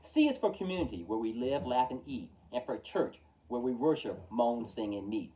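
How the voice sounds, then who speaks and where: Animated man reading aloud, slightly distant. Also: medium pitch, a little hiss or hum. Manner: theatrical, measured delivery with emphatic openings and falling line endings. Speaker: storytime host reading aloud. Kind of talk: expressive children's picture-book read-aloud in rhyme.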